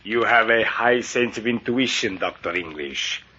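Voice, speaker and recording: Husky, menacing radio villain. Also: male voice, lowish voice, animated, sharp tone, heavily acted. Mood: smooth menace. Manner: formal, deliberate, Spanish-sprinkled speech. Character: menacing, mock-courteous villain holding prisoners, commanding and cruel. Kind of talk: 1940s radio acting. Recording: muffled, lo-fi vintage radio recording.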